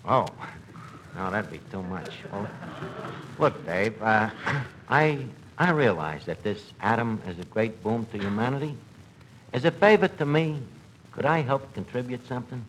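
A man's voice: medium pitch, expressive, measured pace, a bit bright. An actor playing a gullible, blustering New tavern manager in 1940s radio comedy, wisecracking and hesitant while mangling his grammar. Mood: hesitant, eager. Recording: noisy old radio broadcast recording.

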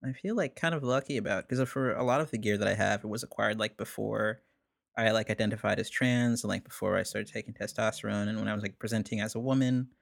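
A young man, a musician guest, telling stories in a relaxed, rambling way, with many "like"s and frequent laughs. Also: on the low side, fast, gravelly, no room sound, clean studio sound. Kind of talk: casual conversation.

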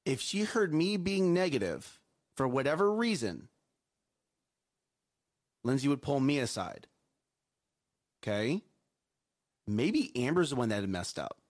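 A man co-hosting a morning show, a coach with a loud voice, giving emphatic advice and often checking in with "okay?" and "right?". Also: mid-range voice, big pitch swings, a little slow, pauses often. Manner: emphatic, repeats himself, asks "okay?" and "right?". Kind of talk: casual chat, preachy life advice.

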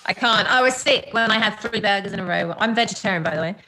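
Bright-voiced chatty woman guest. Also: mid-range voice, fast, slight background noise, poor audio. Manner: chatty, giggly, drifting off mid-thought. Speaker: podcast guest. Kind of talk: casual chat.

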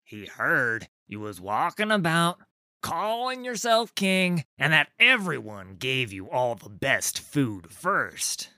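Guy doing a dumb wheezy troll, gaspy and rough, voice jumps all over. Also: a little high, sing-song, slow, sharp tone, no room sound, clean studio sound, pitched above the performer's own voice, heavily acted. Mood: gossipy. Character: dim rust-hided male troll, wheezing.

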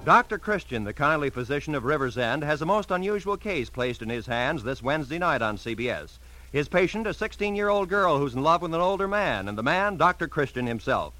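A man's voice: a little high, big pitch swings, brisk, bright, forceful tone, faint music behind, low-quality recording. An American man reading scripted credits and promos as a polished, formal mid-century radio announcer.

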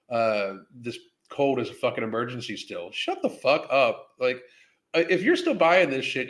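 A man hosting a casual live Q&A, talking off the cuff in a blunt, heavily profane way. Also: medium pitch. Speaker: live Q&A host. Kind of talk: casual unscripted livestream Q&A, reading and answering viewer questions.

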